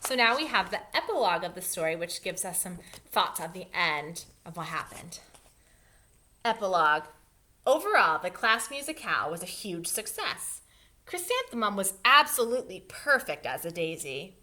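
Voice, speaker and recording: A woman teacher reading a children's storybook aloud expressively, pausing to explain things to young listeners. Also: fairly low pitch, big pitch swings, pushed and bright.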